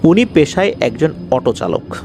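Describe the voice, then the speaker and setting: Animated male horror storyteller, higher-pitched voice. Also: moderate pace, creaky, music in the background. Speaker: horror story narrator, show host. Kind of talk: conversational storytelling of true ghost accounts.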